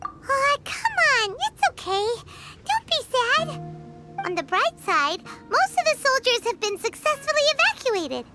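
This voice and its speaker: Game sidekick: childlike little fairy, piercingly high and cute, loyal and excitable, very animated intonation, prominent music behind. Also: female voice, measured pace, exaggerated voice. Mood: consoling. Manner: squeaky, cute, emphatic, speaks of herself in third person. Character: tiny childlike female fairy companion, excitable, indignant, loyal. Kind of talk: video game voice acting.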